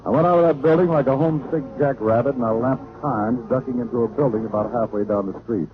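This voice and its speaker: An actor playing a cool, wry hard-boiled private detective in 1940s radio noir style. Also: male voice, medium pitch, fast, music in the background, close to an everyday voice. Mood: wry narration. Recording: noisy narrow-band old radio recording.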